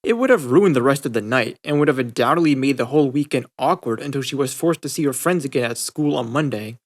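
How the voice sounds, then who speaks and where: A man's voice: medium pitch, lively intonation, fast talker. A man narrating a scripted story as an audiobook reader. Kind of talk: scripted fan-fiction narration.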